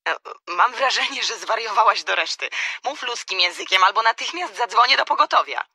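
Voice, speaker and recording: A sleepy, exasperated woman in a radio play, pleading with her friend. Her voice is very sharp and raspy, with lively swings in pitch. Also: fairly quick, dry recording, full character voice. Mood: incredulous. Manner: incredulous, pleading. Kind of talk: radio drama acting.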